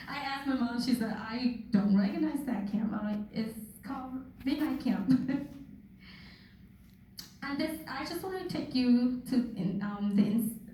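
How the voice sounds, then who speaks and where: A young woman from Thailand giving a personal testimony with slides, speaking slowly and earnestly with soft laughs, recorded from far off in a church hall. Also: a little high. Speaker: guest speaker. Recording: echoey hall from far off, faint noise.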